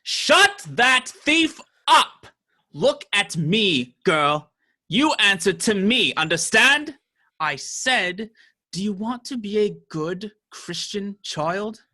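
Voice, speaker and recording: A man's voice: sits high, big pitch swings, slow pace, sharp tone, exaggerated voice. A man playing a cruel, pompous medieval sheriff in a radio play, preaching law and order with menace. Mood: commanding, threatening.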